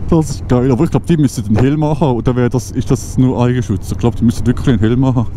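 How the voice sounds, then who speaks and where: A man's voice: medium pitch, fairly even intonation, fast. Swiss German man vlogging from a motorcycle. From Switzerland. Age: 20s. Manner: chatty, thinks aloud with many fillers and restarts. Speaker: motovlogger. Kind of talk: casual rambling commentary while riding. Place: outdoors on a moving motorcycle on country roads. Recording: wind and engine noise from riding.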